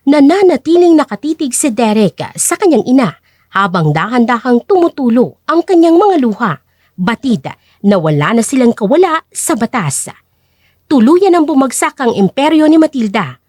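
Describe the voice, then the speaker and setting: A woman storyteller narrates a scripted tale with drama. Her voice is high and lively in pitch, captured very dry and close. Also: moderate pace, no echo. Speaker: storyteller, host.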